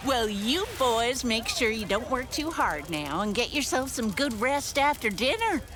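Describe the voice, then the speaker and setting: A woman's voice: fairly high pitch, animated, measured pace. A woman playing a sweet but scheming old lady baker in an audio drama, genteel, fussy and theatrical. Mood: sweetly folksy. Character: sweet old lady baker hiding villainy, easily affronted. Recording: music behind the voice.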